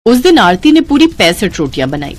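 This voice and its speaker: A woman narrating a scripted cartoon family story in an expressive storytelling style. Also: medium pitch, brisk.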